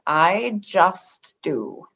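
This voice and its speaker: Stern, cryptic female alien high leader for sci-fi radio comedy, acted by a woman. Formal, slow, unbending; slightly dull lo-fi sound. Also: expressive, fairly bright tone, full character voice. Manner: formal, measured, literal, unbending.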